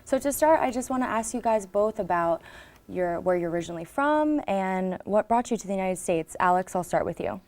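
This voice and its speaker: A woman's voice: mid-range voice, animated, fairly quick, darkish tone. A woman hosting an interview program, opening with a scripted welcome and then asking her guests plain questions. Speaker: TV program host.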